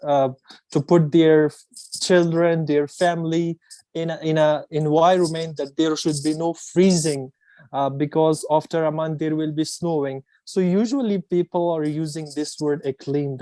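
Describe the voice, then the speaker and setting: Afghan man, formal and halting; dark mellow voice, dry close sound. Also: fairly high pitch, dry recording, poor audio. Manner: formal, halting, many fillers. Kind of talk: panel contribution.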